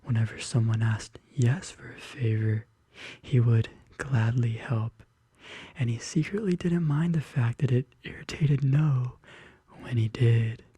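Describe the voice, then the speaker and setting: A soft-spoken ASMR host murmuring and whispering intimately and slowly in a soothing bedtime-story style, close to the microphone. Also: medium pitch, breathy edge, dry recording. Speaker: ASMR video creator and host. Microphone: close studio condenser microphone.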